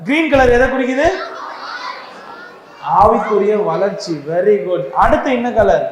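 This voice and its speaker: Teacher shouting questions at a room full of kids, big echo bouncing around the church hall, long reverb tail. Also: mid-range voice, unhurried, noisy background. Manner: loud, animated, calls out questions to the kids. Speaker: children's Bible class teacher. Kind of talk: quizzing children at a church Bible school. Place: inside a church hall, with a crowd of children.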